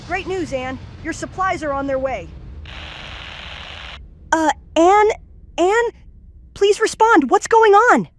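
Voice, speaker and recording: A woman's voice: very high voice, expressive, slow, long pauses, a little hiss or hum, exaggerated voice. A woman voicing an earnest, bossy young boy who leads a team in a comic dub, urgent and commanding. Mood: concerned.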